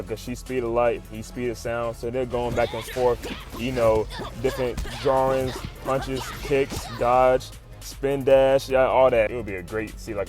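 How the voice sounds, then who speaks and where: A man giving a casual, excited recap as a video host, full of slang, swearing and animated exclamations. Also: medium pitch. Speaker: YouTube host recapping comics.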